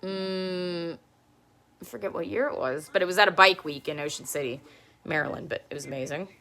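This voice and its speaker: Chatty woman with a bright, low voice. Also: brisk. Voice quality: low speaking voice. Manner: chatty, rambling, laughs often. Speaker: live stream host. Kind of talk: casual live-stream show and tell.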